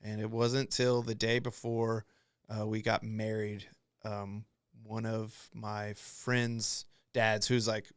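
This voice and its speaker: A man's voice: lowish voice, flat delivery, slow pace, clean tone, no echo, studio quality. A young man hosting a podcast, telling rambling, profane stories in casual chat and acting out what others said. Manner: profane, rambling storyteller who acts out quotes. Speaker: podcast host.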